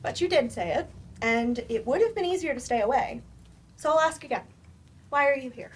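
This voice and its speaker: A woman's voice: high voice, expressive, brisk, slightly rough. A woman in a staged play reading, playing a firm, probing therapist with a direct, dry manner. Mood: probing, challenging. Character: blunt, probing female therapist, patient but firm.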